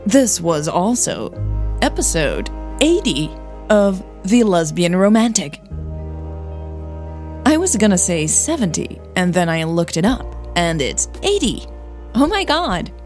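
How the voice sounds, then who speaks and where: A woman's voice: lowish voice, sing-song, takes it slowly, a little mellow, soft background music. A woman narrating fiction and talking informally as the host of her podcast.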